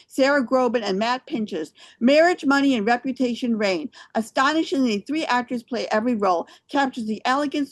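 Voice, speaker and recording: Higher-voiced woman hosting theater reviews. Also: dry recording. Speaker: show host and theater reviewer. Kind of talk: scripted review read aloud.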